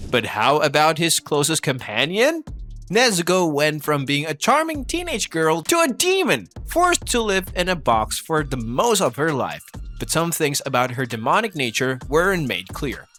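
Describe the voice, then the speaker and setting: A man delivers scripted commentary in a fairly high, forceful voice. His intonation swings widely as he leans into rhetorical questions with playful disbelief. It sounds like narration for an online video essay. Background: background music.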